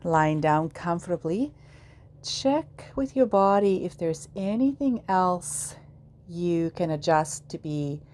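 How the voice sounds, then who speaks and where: A woman leading a guided yoga nidra meditation in a soothing, measured way, leaving long pauses between short phrases. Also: fairly low pitch, expressive, darkish tone, slight background noise.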